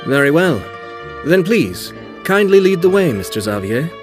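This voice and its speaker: A man voicing a composed, courteous young nobleman in a video game, speaking formally and with measured politeness. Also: a little high, very animated, music in the background. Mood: gracious.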